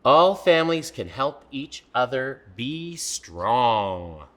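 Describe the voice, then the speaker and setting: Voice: medium pitch, sing-song, slow pace, a bit bright. A man co-hosting a children's storytime with a playful, theatrical, exuberant way of talking and reading.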